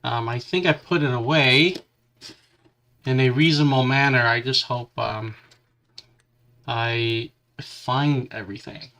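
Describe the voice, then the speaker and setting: A man hosting a hobby livestream, chatting casually and haltingly while he works with his hands, still nursing a lingering cough and a stuffy nose. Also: mid-range voice, sharp tone. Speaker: livestream host building a model kit.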